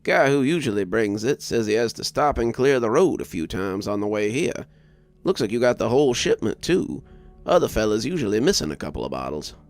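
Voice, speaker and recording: Man voicing a clipped, low-toned delivery receiver. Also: very animated, brisk, soft background music. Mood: matter-of-fact. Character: plainspoken working man receiving milk deliveries.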